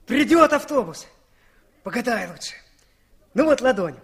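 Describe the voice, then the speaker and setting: An actor playing a brash, broke young dandy in a 1960s Soviet radio play, breezy, slangy and wheedling. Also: male voice, extremely high pitch, very animated, normal speed, exaggerated voice. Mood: cheeky, wheedling.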